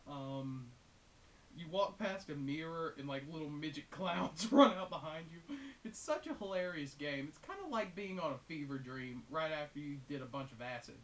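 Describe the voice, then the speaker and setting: A man giving a casual, unscripted video game review in a rambling, hesitant but amused and enthusiastic way. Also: fairly high pitch. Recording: hissy, noisy home recording.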